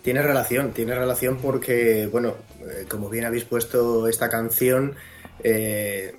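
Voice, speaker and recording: A man from Salamanca, Spain, a guest author in a radio interview, his voice a little hoarse from a cold and his manner relaxed. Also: medium pitch, unhurried, faint music behind.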